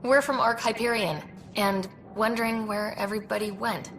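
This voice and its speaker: An actress plays a young female space explorer in a game, with a middling pitch. She is casual, wry and direct, with clear music playing behind her. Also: steady tone, moderate pace, fairly bright tone, lightly acted, rough recording. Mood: curious, direct. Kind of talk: naturalistic video game acting.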